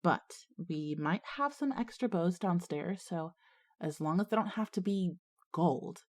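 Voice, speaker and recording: A hardworking schoolgirl in an audio drama, with a fairly low voice. She speaks plainly and wryly, like someone who always ends up with the chores and is a bit exasperated by it. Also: expressive, measured pace, a little mellow. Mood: practical, wry. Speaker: character and first-person narrator.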